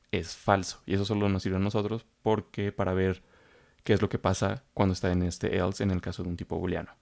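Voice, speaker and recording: Male programming instructor, warm low voice, patient step-by-step manner. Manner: explains step by step, repeats phrases, frequent fillers. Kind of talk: screen-recorded coding tutorial.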